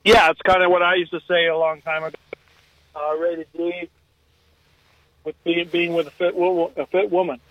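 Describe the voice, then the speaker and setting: A man's voice: on the high side, flat delivery, moderate pace, long pauses, dry recording. An American man calling in to a radio show by telephone, giving rambling, earnest advice in casual everyday speech. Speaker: phone-in caller. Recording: tinny narrow-band phone line.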